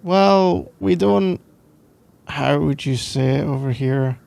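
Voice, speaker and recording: A man's voice: fairly high pitch, slow, gravelly, darkish tone. A young Scottish man doing casual boyfriend roleplay ASMR in a playful, teasing, rambling way, close to the microphone. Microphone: close microphone, dry sound.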